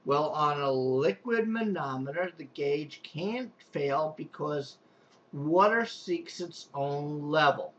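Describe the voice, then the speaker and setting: A man's voice: on the high side, lively intonation, takes it slowly, muffled recording. A man with a strong Long Island accent, a pool and spa technician giving an unscripted, rambling how-to demonstration with many restarts and fillers. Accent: Long Island New York.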